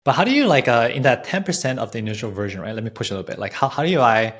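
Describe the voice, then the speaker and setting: A man hosting a casual podcast interview, asking informal questions in a halting way with repeated words. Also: mid-range voice, sing-song.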